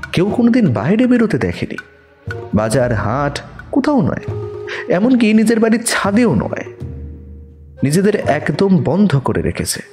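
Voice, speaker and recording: A man narrating a scripted detective story in the first person, expressive and suspenseful. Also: medium pitch.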